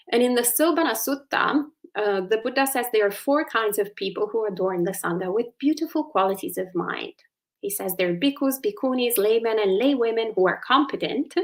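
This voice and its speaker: A woman speaks English with an Italian accent, explaining a teaching as a Buddhist nun. Her voice has a gravelly fry at a middle pitch, and she picks her way through ideas with many ums and restarts. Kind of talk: explanatory dharma talk.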